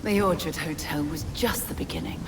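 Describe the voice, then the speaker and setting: An actress playing a menacing, zealous leader of assassins in a video game, measured, imperious and grandiose. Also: female voice, deep voice, very animated, background noise. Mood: menacing resolve. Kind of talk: cinematic video game acting.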